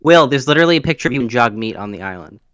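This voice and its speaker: A man cracking deadpan jokes and needling his friends on a casual podcast. His voice sits at a middling pitch, and the sound is dry and close. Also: rapid-fire, clear, resonant tone, no room sound. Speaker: podcast co-host.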